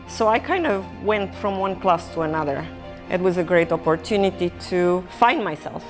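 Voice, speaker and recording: Reflective woman. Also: medium pitch. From Romania. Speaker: artist and gallery owner. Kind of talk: personal storytelling in a documentary interview.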